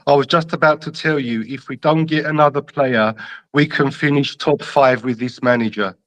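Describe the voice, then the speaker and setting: A man with a North London accent tinged with Greek Cypriot and slight Australian, giving emphatic, deliberate opinions as a caller in casual football chat. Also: medium pitch, fairly even intonation, dry recording, poor audio. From London, England. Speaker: caller to a football fan show.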